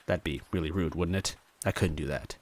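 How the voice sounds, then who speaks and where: A man's voice: extremely low pitch, brisk, no room sound. A man narrating first-person fiction expressively, in a conversational, wry manner. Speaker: story reader.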